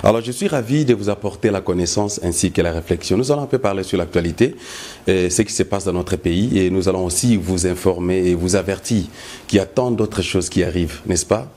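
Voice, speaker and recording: A man with a Congolese accent hosting a political interview, putting drawn-out rhetorical questions. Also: low voice, dark tone. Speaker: host.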